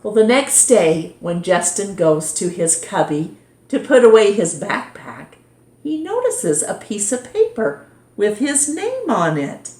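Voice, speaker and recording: A woman reading a children's picture book aloud in an expressive, deliberate storytime manner. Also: medium pitch. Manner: animated, deliberate, dramatizes dialogue for young listeners. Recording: roomy echo.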